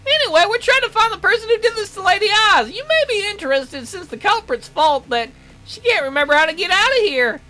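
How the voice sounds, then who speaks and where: A woman's voice: extremely high pitch, expressive, fairly quick, pushed and bright, faint music behind, pitched above the performer's own voice, full character voice. A woman voicing a fussy, devoted magical attendant in a visual novel, prim and snippy but deferential to a lady. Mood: protective, scornful.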